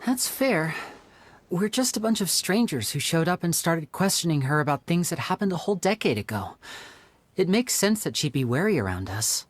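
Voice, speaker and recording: A performer voicing a charming, smooth young male magician in a video game, with a polite, persuasive manner. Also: a little high, sing-song, moderate pace, no room sound. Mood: plotting.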